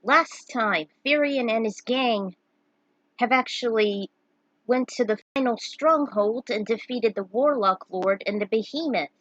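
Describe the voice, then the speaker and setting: A woman's voice: fairly high pitch, unhurried, a bit bright, dry recording, lo-fi sound. A woman narrating a story in a casual, amateur way, with informal asides to the listener. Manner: informal asides, interrupting own reading to comment. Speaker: narrator reading own fan fiction.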